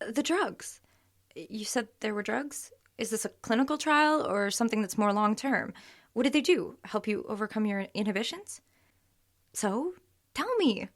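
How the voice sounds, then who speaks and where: An actress playing an exasperated young girlfriend in an audio drama, speaking emotionally and haltingly, often dropping to a murmur. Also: fairly high pitch, lively intonation, lightly acted. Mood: eager, curious. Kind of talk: naturalistic audio drama acting.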